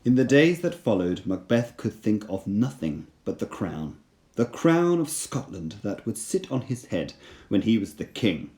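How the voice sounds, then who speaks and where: A man's voice: lowish voice, big pitch swings, measured pace, warm tone. A man reading a storybook aloud as a storyteller, with dramatic scripted narration.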